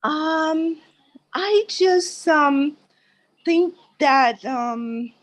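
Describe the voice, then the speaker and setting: Slow, halting woman in her sixties. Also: high-pitched, dry recording, poor audio. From Thailand. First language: Thai. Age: 60s. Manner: halting, with many fillers. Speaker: new participant. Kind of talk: casual discussion.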